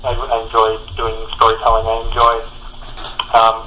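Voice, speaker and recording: A man's voice: on the low side, completely flat delivery, normal speed, pushed and bright, a little hiss or hum, low-quality recording. A man, a comic book artist, answering interview questions reflectively, searching for his words.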